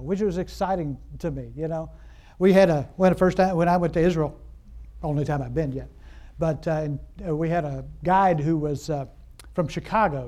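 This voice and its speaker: A man delivering a sermon in a rambling, chatty way, often restarting his sentences and stopping to ask his listeners questions. His voice sits high for a man, and he builds to excited, exclaiming stretches. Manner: rambling, conversational, frequent restarts and fillers. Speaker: pastor.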